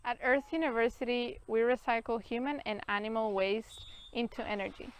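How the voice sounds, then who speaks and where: Young woman, smooth narration voice. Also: on the high side, fairly even intonation, dry recording, low-quality recording. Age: young adult. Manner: clear, measured reading. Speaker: student presenter. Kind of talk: scripted video narration.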